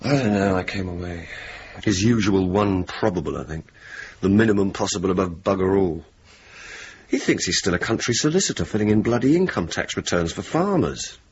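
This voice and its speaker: An actor playing a blunt, cynical young RAF officer with a dry, decisive manner in a radio drama. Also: male voice, low-pitched, lively intonation, brisk, slightly rough. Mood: sardonic, dry. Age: young adult. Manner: dry, sardonic, sweary, decisive. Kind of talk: radio drama acting, dialogue and narration. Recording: muffled, noisy old radio broadcast recording.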